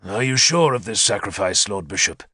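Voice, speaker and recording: A man's voice: on the low side, lively intonation, moderate pace, bright, forceful tone, breathy edge, dry recording, voice pushed down, exaggerated voice. Audiobook warlord, read by a male narrator: commanding, dry, sardonic, close dry sound. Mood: dry mockery. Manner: curt, sardonic, authoritative.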